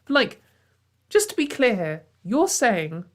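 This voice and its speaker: A woman's voice: fairly high pitch, big pitch swings, slow pace. A woman hosting a casual commentary video from home, sarcastic and exasperated, with frequent sighs and fillers.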